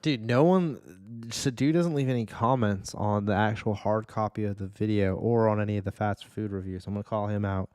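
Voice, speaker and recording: A man's voice: lowish voice, normal speed, few pauses, a little mellow, smooth, no room sound, clean studio sound. A man in his thirties with a North American accent, chatting casually and enthusiastically as a podcast host. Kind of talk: casual chat.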